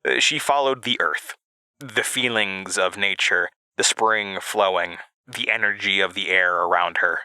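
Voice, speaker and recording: A young man acting as a haunted narrator in an audio drama, recalling his childhood in a halting, reflective monologue. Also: lowish voice, pushed and bright, clean tone, no echo, exaggerated voice, low-quality recording. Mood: wistful, reflective. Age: young adult. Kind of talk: dramatic first-person monologue, reminiscing. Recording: music bed under voice. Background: music.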